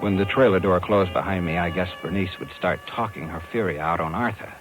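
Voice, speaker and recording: A man's voice: low voice, fairly quick, natural voice. A man acting a hard-boiled, stubborn talent agent in a 1950s radio drama, talking in a tough, slangy, insistent way. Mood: tense, brooding. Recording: 1950s radio recording with music behind.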